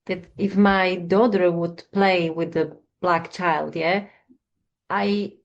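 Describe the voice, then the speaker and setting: Hesitant soft-spoken woman, lo-fi sound. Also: fairly low pitch, steady tone, slow pace. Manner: hesitant, searching for words. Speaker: guest counsellor. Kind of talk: reflective personal conversation. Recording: dull lo-fi audio.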